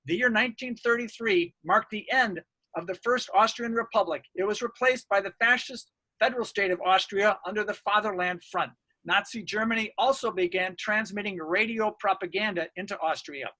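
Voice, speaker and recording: A man's voice: high-pitched, moderate pace, pushed and bright, no echo, rough recording. A man reading out a scripted academic research presentation.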